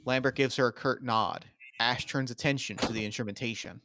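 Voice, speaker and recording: A man's voice: mid-range voice, a little fast, pushed and bright, dry recording. Man reading screenplay directions, dry close sound. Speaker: narrator reading stage directions. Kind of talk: scripted narration of a screenplay at a table read.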